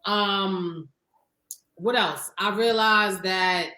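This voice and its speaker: A woman in her late thirties with a Harlem accent and a deep voice, co-hosting a podcast and talking bluntly and reflectively, with some profanity. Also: drawn-out words, pushed and bright, echoey room, poor audio. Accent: Harlem New York. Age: 30s. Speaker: podcast co-host. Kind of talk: casual chat.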